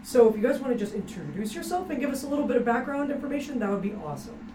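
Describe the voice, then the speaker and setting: A woman's voice: a little high, expressive, very fast. Young woman hosting from a distance. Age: young adult. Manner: upbeat and informal. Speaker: podcast host. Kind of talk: casual interview hosting. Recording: echoey room, far from mic, noisy.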